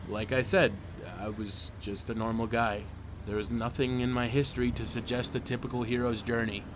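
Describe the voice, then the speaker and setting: A man's voice: mid-range voice, noisy background, close to an everyday voice. A man playing a wry, self-deprecating ordinary teenage boy who narrates his superhero story in a comic dub, confiding and sardonic.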